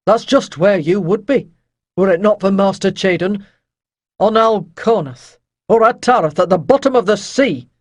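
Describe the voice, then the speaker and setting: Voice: medium pitch, moderate pace, no room sound, voice pushed up, natural voice. A reader voicing a commanding male ship captain who speaks in a clipped, authoritative way. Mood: stern.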